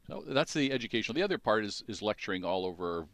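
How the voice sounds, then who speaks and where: Very deep-voiced older man, measured. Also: very animated, a bit bright, no room sound. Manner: measured, explanatory. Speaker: dentist guest. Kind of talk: radio interview answers. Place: small radio studio. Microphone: studio mic.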